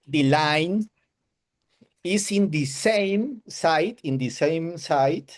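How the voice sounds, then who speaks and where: Spanish-speaking man with a Colombian accent, slow halting broken English on a video call. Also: fairly high pitch, lively intonation. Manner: hesitant broken English, searching for words. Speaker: webinar attendee giving a testimonial.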